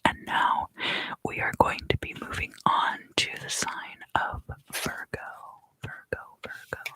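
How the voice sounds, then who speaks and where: A woman giving an ASMR tarot reading in a hushed, soothing, husky voice that often slips into a whisper, close to the microphone. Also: no room sound. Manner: slow, soothing, hushed guided delivery. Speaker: tarot card reader and host.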